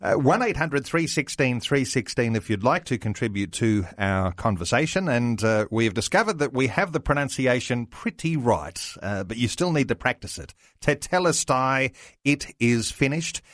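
A man hosting a radio talk show, speaking in a measured way with fillers. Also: mid-range voice, big pitch swings, fairly bright tone, dry recording, studio quality. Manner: measured, uses fillers, slows for key phrases. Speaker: radio host.

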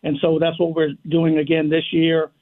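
Man, radio guest on the phone, tinny line, halting speech, many fillers. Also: monotone. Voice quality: deep voice. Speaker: firefighters' union president, radio guest.

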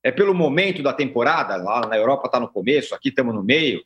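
A Brazilian man hosting a football podcast, reading a brisk prepared rundown and putting questions to the panel. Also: medium pitch, lively intonation, a bit bright, lo-fi sound. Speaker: podcast host. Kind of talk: scripted show opening.

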